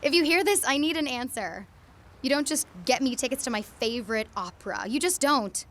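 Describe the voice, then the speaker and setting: A woman's voice: high voice, lively intonation, a little fast, fairly bright tone. A young woman playing a conflicted former girlfriend in an audio drama, earnest and hesitant in her delivery. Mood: demanding, upset.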